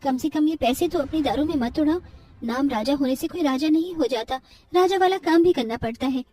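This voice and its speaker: Casting: woman as long-suffering young cartoon wife, high-pitched, earnest and pleading, faint music behind. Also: fairly quick, dark tone, no echo, far from the mic, natural voice. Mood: protective, pleading. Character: meek, suffering young wife and devoted mother.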